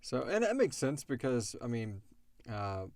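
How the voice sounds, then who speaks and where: A man co-hosting a casual question-and-answer chat, speaking in an animated way and swearing freely. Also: medium pitch, unhurried, no room sound. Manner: animated, swearing, acts out imagined dialogue. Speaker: co-host of an audio drama Q&A show.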